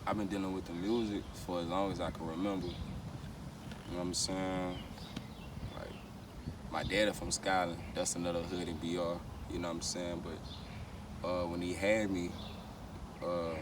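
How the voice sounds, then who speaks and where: A man's voice: sits low, normal speed, pauses often. A man with a Louisiana accent chatting casually as an interviewed rapper, with heavy slang and frequent swearing. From Baton Rouge, Louisiana, USA. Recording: far from mic, loud outdoor street noise.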